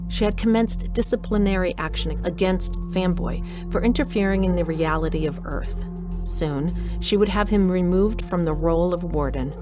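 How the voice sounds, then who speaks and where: Lowish woman narrator over music. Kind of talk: scripted story narration.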